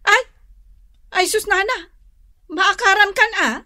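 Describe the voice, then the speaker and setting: A woman's voice: extremely high pitch, slow pace, bright, forceful tone, exaggerated voice. A woman playing a touchy, quarrelsome village wife in a comedic Ilocano radio drama, exclaiming and complaining.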